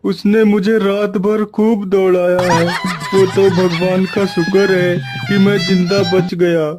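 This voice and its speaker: A man's voice: sits high, flat delivery, takes it slowly, over music. A man voicing a frightened, gullible cartoon lion with a panicky manner. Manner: panicky, stammering in fear. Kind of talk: Hindi cartoon story dialogue.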